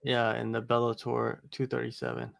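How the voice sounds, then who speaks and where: A man's voice: medium pitch, monotone, moderate pace, creaky, a bit bright, no room sound, clean studio sound. A man who interviews fighters, chatting casually on a livestream in a loose, rambling way with lots of fillers. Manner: laid-back, rambling with many fillers. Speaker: MMA interviewer and podcast co-host.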